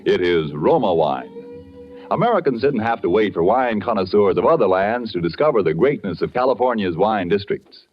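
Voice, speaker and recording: A man announcing a scripted 1940s radio commercial in a polished, formal sales style. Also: medium pitch. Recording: dull vintage radio broadcast, music behind. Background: music.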